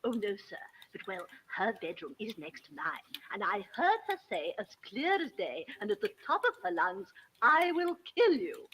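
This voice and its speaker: A woman's voice: mid-range voice, big pitch swings, moderate pace, a bit bright, a little hiss or hum, poor audio. A woman playing an agitated, emphatic figure in a murder case in an old-time radio drama. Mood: eager, indignant. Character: agitated women in a murder case, defensive suspect and witness.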